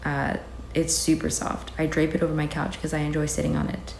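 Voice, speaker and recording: A young woman with a soft, sleepy voice, chatting to camera in a rambling, candid way full of fillers. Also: sits low, completely flat delivery, fairly quick, vocal fry. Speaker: vlogger. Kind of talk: casual chat to camera. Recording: echoey room with noise.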